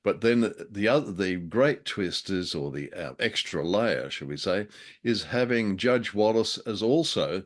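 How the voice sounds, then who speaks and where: A man's voice: lowish voice, expressive, a little slow. A man co-hosting a show in casual, bantering chat, with a wry, hesitant and understated way of talking. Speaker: co-host of a show reviewing old radio dramas.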